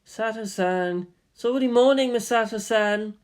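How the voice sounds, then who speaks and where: A woman voicing an earnest, odd teenage boy pilot with a dramatic, deliberate manner. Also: on the high side, darkish tone, voice pushed up, lightly acted. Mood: polite, coaxing.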